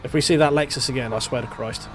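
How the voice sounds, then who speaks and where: A man with a stuffy, blocked-up nose talks his way through a sim race, half to himself and half to his chat. His voice sits in an ordinary middle pitch. Game music and engine noise play clearly behind him. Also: fast. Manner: chatty, mutters to himself while driving. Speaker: sim racing streamer. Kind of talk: live gameplay commentary. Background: game music and car engine sounds.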